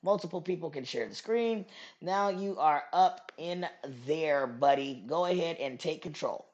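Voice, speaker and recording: A woman's voice: low voice, animated, a little slow, a little mellow, lo-fi sound. A woman hosting a podcast in a casual, chatty way. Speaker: podcast host.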